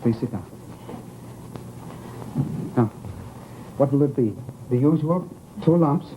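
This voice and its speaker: Unhinged, pleading widower, old noisy radio. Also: male voice, mid-range voice, lively intonation, highs cut off. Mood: soft, eerily hospitable. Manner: halting, coaxing, pleading. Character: unhinged grieving widower, softly insistent, clinging to his dead wife. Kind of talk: old-time radio horror acting.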